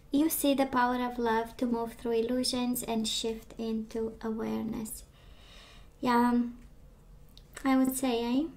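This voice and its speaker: Woman, tarot reader, voice giving out, fairly high, flat intonation, chatty, lots of fillers. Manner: chatty, reassuring, many fillers and restarts. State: losing her voice, clearing throat. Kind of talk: casual tarot reading to camera. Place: indoors at a table of tarot decks.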